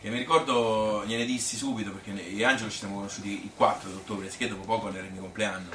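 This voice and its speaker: A man's voice: on the low side, steady tone, fast talker, pushed and bright. A man playing a chatty, self-amused Tuscan woman who rambles through her courtship memories in a folksy theatrical monologue. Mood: fond, insistent. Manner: rambling, folksy, filler-laden. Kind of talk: theatrical monologue, colloquial storytelling. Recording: echoey room, distant mic, hissy noise.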